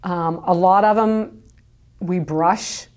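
A woman's voice: lowish voice, slow, a little mellow. A woman who runs a dog kennel, talking casually in a recorded interview with many fillers and restarts. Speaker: dog kennel owner, guest. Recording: home tape recording.

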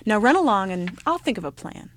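A woman's voice: mid-range voice, sing-song, brisk, close to an everyday voice. A woman voicing the Star Mother, a harried heavenly mother who scolds and fusses at her youngest child. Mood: indulgent. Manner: raised, scolding and fussing. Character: busy, bossy celestial Star Mother.

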